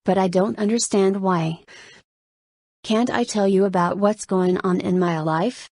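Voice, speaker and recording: A woman's voice: medium pitch, steady tone, unhurried, a little mellow, no room sound, studio quality. A woman acting in an audio play as a skeptical, exasperated girlfriend who talks in a plain, challenging way. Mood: pleading, defensive.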